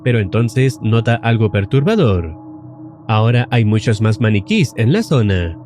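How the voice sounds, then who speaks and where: A man delivers scripted, suspenseful horror narration for an explainer video. His mid-range voice moves in lively contours, with faint music playing underneath. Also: on the low side, normal speed.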